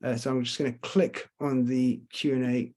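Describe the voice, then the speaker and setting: A man's voice: mid-range voice, level tone, normal speed, a little mellow. A man with a London accent and a slight Scottish trace, giving a casual online tour lecture and answering questions, hesitant and full of fillers, on a computer mic. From Scotland and London. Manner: chatty, hesitant with many fillers. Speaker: tour guide. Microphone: computer mic on video call.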